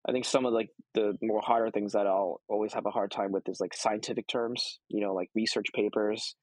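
A man's voice: lowish voice, fairly even intonation, fairly quick, darkish tone, no room sound, low-quality recording. A young man answering interview questions casually, with many ums and restarts. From United States. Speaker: guest.

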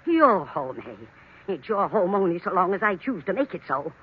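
A woman's voice: fairly low pitch, big pitch swings, moderate pace, a little hiss or hum. A woman playing a sour, hot-tempered rich old aunt in radio drama style, curt and scolding. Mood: stern, indignant. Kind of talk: 1940s radio drama acting. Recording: muffled old radio recording.

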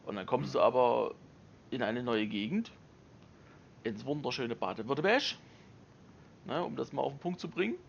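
A man's voice: medium pitch, normal speed, vocal fry, long pauses, lo-fi sound, a little hiss or hum. A man from eastern Germany chatting casually and jokingly in a group talk. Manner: animated, joking, playful put-on voices. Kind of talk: casual chat.

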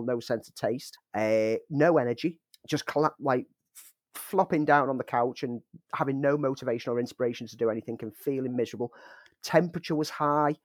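A man with a mid-pitched voice co-hosts a casual podcast, rambling in a self-mocking way with frequent chuckles. He has a lingering cough, and the recording is close and dry. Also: no room sound. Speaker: podcast co-host.

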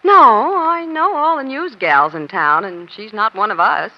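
A woman's voice: fairly high pitch, unhurried. A woman playing a savvy, chatty American newspaper reporter who feigns casual innocence in a 1940s radio crime drama. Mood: slyly chatty. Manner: breezy, chatty, slyly casual. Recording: dull, lo-fi old radio recording.

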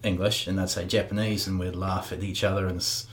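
A man's voice: low voice, level tone, a little fast. A man hosting an interview, chatting in a laid-back, casual way with everyday slang. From New Zealand. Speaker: podcast host.

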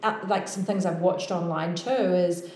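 A woman's voice: fairly low pitch, steady tone, normal speed, a little mellow, slight background noise. A woman with a New Zealand accent hosting a podcast, chatting casually and finishing her guest's sentences. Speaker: podcast host. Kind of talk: casual interview chat. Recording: echoey room.

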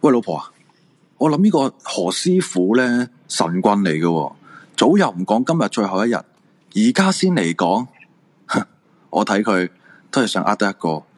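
A man's voice: on the low side, very animated, normal speed. A man playing a blunt, sceptical husband and swimming coach in a radio drama, with a Hong Kong Cantonese accent. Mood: scornful.

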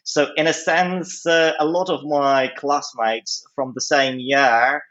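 A man, speaking as an interview guest in a hesitant, measured way with long pauses. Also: fairly high pitch, fairly even intonation, a bit bright, muffled recording. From Poland. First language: Polish. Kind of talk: conversational analysis in an interview.

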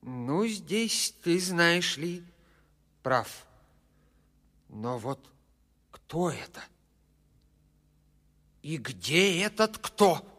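An actor playing an excitable, good-natured dwarf leader in a cartoon, with a husky voice. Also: male voice, fairly high pitch, drawn-out words, pauses often, full character voice. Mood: curious, puzzled. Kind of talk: cartoon voice acting.

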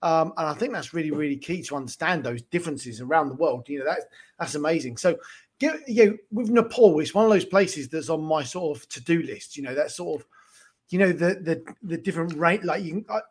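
A man's voice: on the high side, brisk, husky. A man hosting a podcast interview, enthusiastic and rambling, often laughing. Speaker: podcast host. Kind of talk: conversational interviewing.

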